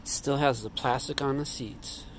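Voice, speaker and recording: A man's voice: medium pitch, steady tone, measured pace, slight background noise, poor audio. A man casually talking through what he sees as he walks around, thinking aloud and correcting himself, recorded outdoors on a handheld phone. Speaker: vlogger. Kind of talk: casual walkaround commentary. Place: outdoor parking lot.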